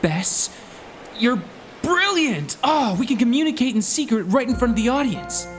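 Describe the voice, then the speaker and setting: Casting: eager young dreamer and sweetheart, lively swooping intonation, stage musical dialogue over music. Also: male voice, extremely high pitch, normal speed. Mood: excited. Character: eager, ambitious young man in love, a showman. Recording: music behind the voice.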